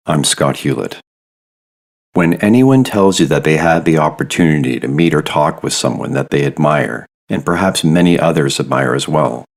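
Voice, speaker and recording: A male podcast host reading a measured, reflective introduction. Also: very deep voice, gravelly. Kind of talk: scripted introduction.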